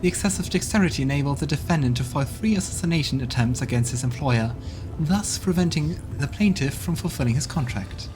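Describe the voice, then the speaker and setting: A man's voice: on the high side, animated, a little fast, pitched above the performer's own voice, close to an everyday voice, rough recording. Man playing a stern woman demon judge. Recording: music in the background.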